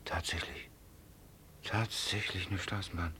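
A man's voice: deep voice, steady tone, a little slow, bright, forceful tone, whispered. A man acting in a radio play as a flustered, injured company director, stammering and exclaiming in disbelief. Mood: bewildered, pained.

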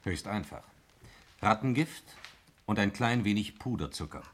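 A matter-of-fact helper to an investigator in an old radio mystery. He speaks in a lowish, expressive voice, explaining things deliberately. There is some noise on the vintage recording. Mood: explanatory. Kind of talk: 1960s German radio crime drama acting.

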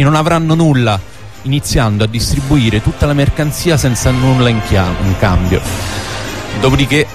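A man narrating a historical radio drama from a script. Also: medium pitch. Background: music under the voice.

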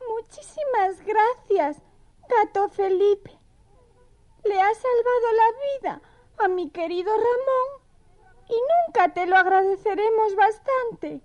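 A woman's voice: very high voice, takes it slowly, a little mellow, highs cut off. A woman voicing a dainty, coquettish young female mouse with a prim, sweetly affected manner in a children's audio story. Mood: grateful.

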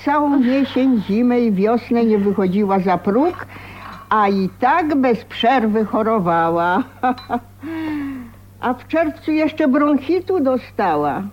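Radio play aunt: older, fretful, nagging, unhurried highish voice, dull recording. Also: female voice, lively intonation, a little hiss or hum. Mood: fretful, gossipy. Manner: fussing, nagging, gossipy. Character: fussy, fretful older aunt, forever worrying. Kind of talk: radio drama acting.

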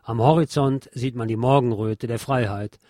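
A man's voice: medium pitch, steady tone, moderate pace, warm tone, a little hiss or hum. A man reading a scripted historical documentary narration as the programme's narrator.

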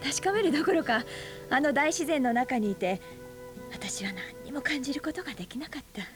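An actress in her forties playing a brooding, bitter aunt who is a schoolteacher, speaking softly and often in a half-whisper in a radio drama. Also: sits high, expressive, fairly quick, music in the background. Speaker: high school teacher.

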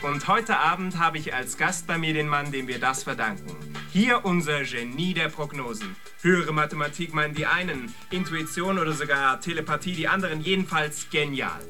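An actor playing an effusive, polished television show host in a radio drama. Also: male voice, on the high side, moderate pace, few pauses, lightly acted. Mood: effusive, presenting. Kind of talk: TV presenting within a German radio drama. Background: music.

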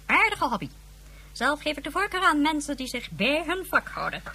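A woman's voice: high voice, sing-song, normal speed, pushed and bright, full character voice. A woman playing a haughty, icy figure of authority in a science fiction radio drama, formal and cutting. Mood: icy, dismissive.

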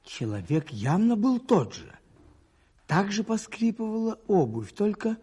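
Husky, high-voiced priest detective. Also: male voice, big pitch swings, warm tone, full character voice. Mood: suspenseful. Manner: measured, suspenseful storytelling. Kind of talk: radio play acting, first-person narration.

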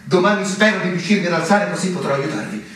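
An actor playing an ailing middle-aged army major in a literary radio drama, brooding and pleading in a theatrical manner. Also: male voice, sits high, fast, background noise, close to an everyday voice. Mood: weak, pleading. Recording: distant, very echoey, music underneath.

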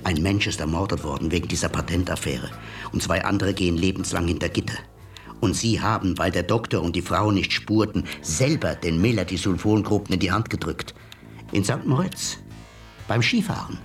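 An actor playing a cool, mocking male blackmailer in a radio play, smooth and unhurried. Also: sits low, recorded from a distance. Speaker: blackmailing hacker visitor. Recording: voice set back from mic, music behind.

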